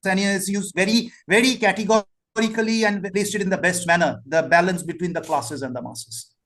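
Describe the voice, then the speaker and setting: Interviewer guy, pretty high voice, wordy formal questions. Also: fairly quick, a bit bright.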